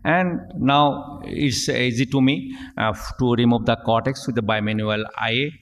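A middle-aged man, an eye surgeon, giving a technical conference lecture at a podium microphone, halting with many fillers and restarts. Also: medium pitch. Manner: halting, frequent fillers and restarts, reading at a lectern. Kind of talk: technical lecture narrating surgical video. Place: large conference hall, at a podium. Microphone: podium gooseneck microphone. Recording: long hall reverb.

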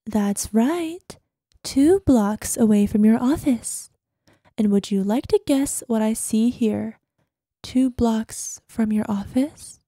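A woman's voice: on the high side, slow, warm tone, dry recording. A woman playing an obsessive, possessive girlfriend in an ASMR roleplay voicemail, sweetly menacing, dropping into whispers. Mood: possessive, threatening. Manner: breathy asides, drops into whispers, syrupy pet names.

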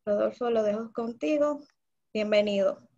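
Woman, webinar host, polite formal intro, slightly high pitch, dull lo-fi video call sound. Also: normal speed, very noisy. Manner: polite, announcing.

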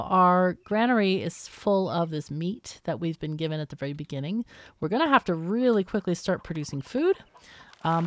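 Lowish-voiced woman, casual game commentary. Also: no echo. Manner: explanatory, thinking aloud. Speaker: gaming video host. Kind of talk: casual let's-play commentary.

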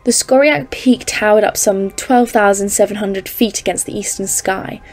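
A woman's voice: medium pitch, normal speed, a little mellow, lightly acted. A woman playing a composed expedition leader, narrating a polar journal in a scripted audio drama. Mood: solemn, uneasy. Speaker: narrator and lead character.

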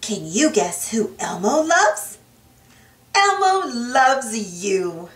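A woman reading a children's storybook aloud in an expressive, singsong way. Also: on the high side, very slow. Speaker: storybook reader, channel host. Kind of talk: children's read-aloud narration. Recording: roomy, distant mic, soft music behind.

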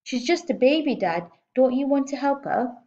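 A woman voicing an eager, determined young girl who pleads excitedly with her father. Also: high voice, normal speed, lo-fi sound, pitched above the performer's own voice.

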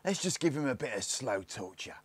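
A man voicing the bossy, scornful eldest boy of a gang in a storybook, with rough colloquial speech and a sneering, snapping manner. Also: medium pitch, sing-song, a little fast, voice pushed up.

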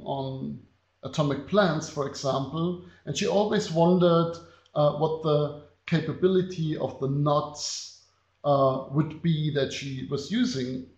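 Slow German-accented man, gravelly voice. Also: fairly high pitch, a little mellow. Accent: German (Bavarian). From Germany, Bavaria. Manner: slow, unhurried with frequent filler pauses. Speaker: design professor. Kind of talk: webinar lecture presenting slides. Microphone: computer mic on a video call. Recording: echoey, dull webinar audio.

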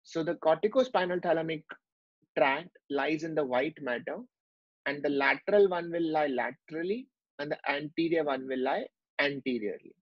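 A man's voice: fairly high pitch, normal speed, dry recording. A man teaching a medical lecture over a drawn whiteboard, explaining step by step with many fillers. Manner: explanatory, with frequent fillers and restarts. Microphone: online class recording. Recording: dull lo-fi dry sound.

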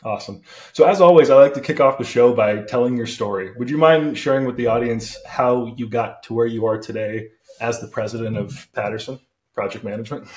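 A man's voice: medium pitch, fairly quick. A young man hosting a podcast from a home studio, introducing his guest with upbeat energy. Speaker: podcast host. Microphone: studio condenser mic. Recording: roomy echo.

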